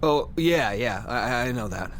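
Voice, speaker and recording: An actor playing a nervous, evasive night visitor in a radio drama, hesitant and fumbling for words. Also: male voice, mid-range voice, animated, a little slow, fairly bright tone, slight background noise.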